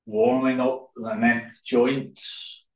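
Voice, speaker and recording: Slow-paced man guiding relaxation, roomy echo. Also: mid-range voice. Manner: calm, unhurried, short phrases with pauses. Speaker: yoga instructor. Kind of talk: guided relaxation instruction. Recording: roomy echo, distant microphone.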